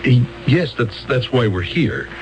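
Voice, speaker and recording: An actor playing a fumbling, wordy court-appointed lawyer who hesitates, stammers and clears his throat, in a 1970s radio drama. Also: medium pitch, animated, unhurried, dull audio, slight background noise, close to an everyday voice.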